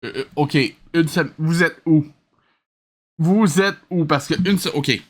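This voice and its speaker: A man's voice: a little high, unhurried. A man with a Québécois accent giving comic reaction commentary, incredulous and full of exasperated questions. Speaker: host reviewing a cartoon.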